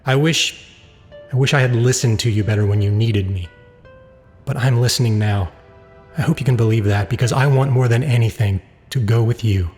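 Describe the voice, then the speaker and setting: An actor plays a burdened, regretful father in middle age. His earnest voice has an average male pitch and rings with long reverb in a dramatic radio play. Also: moderate pace, darkish tone, close to an everyday voice. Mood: remorseful, tender. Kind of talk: audio drama acting. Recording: long reverb, music underneath.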